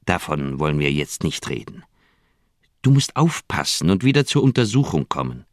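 An actor playing an older, uneasy hospital doctor in a radio crime play, brusque, irritable and evasive with an old friend. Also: male voice, very deep voice, big pitch swings, normal speed, no room sound, clean studio sound. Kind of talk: radio drama acting. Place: dry radio studio.

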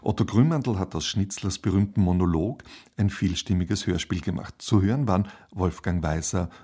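A man's voice: low-pitched, normal speed, dark tone, breathy edge. A man reading scripted broadcast credits as a radio announcer.

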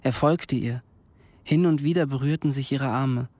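A man's voice: medium pitch, moderate pace, darkish tone, dry recording, lightly acted. An actor playing an earnest, inquisitive young man in a radio drama, delivering terse short phrases. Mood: intent, narrating. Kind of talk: radio drama narration and dialogue.

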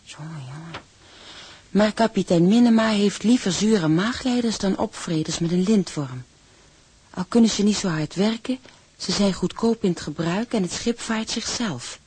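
A very husky, wry seaman storyteller. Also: sits high, moderate pace, a little mellow, no echo. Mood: wry, confiding. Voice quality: husky, breathy. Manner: wry, confiding. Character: young seaman narrating his shipboard life wryly.

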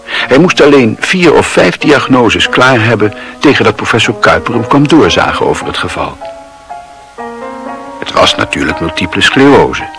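A man narrating a literary radio drama in a measured storytelling manner. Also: lowish voice, very animated, fairly bright tone. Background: music.

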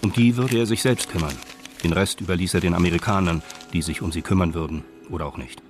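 A man narrating a crime radio drama in a sober, detached, deadpan and measured manner. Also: deep voice, soft background music, close to an everyday voice. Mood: matter-of-fact.

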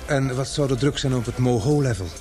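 Actor as polite inquisitive man, measured delivery, mid-range voice, vintage radio drama, music underneath. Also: medium pitch, lightly acted. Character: courteous, inquisitive man caught up in murder intrigue. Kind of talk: old-fashioned Dutch radio play acting.

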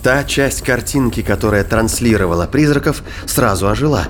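A man's voice: medium pitch, lively intonation, normal speed, a little hiss or hum. A man reading scripted dramatic narration for a sci-fi thriller audio drama. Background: music.

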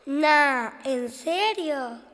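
A woman acts a naive young child in a children's radio drama, full of wide-eyed questions. The high voice has a Rioplatense Argentine accent and rings in a long reverb. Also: expressive, drawn-out words, fairly bright tone, exaggerated voice. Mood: amazed, doubting. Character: curious, naive young child at bath time.

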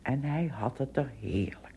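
Very husky, low-pitched female storyteller. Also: measured pace, warm tone. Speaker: narrator. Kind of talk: scripted storytelling narration for a radio play.

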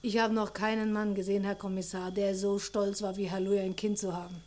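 Actress as a chatty female witness, mid-pitched, fond, emphatic, radio crime drama. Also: level tone, fairly quick, a little mellow, natural voice. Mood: reminiscing. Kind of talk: German radio crime play acting.

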